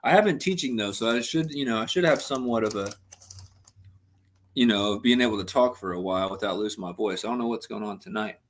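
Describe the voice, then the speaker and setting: A man's voice: on the low side, quick pace. Chatty young man losing his voice a bit. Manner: chatty, reads out and answers chat messages. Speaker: livestream host. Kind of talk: casual livestream chat.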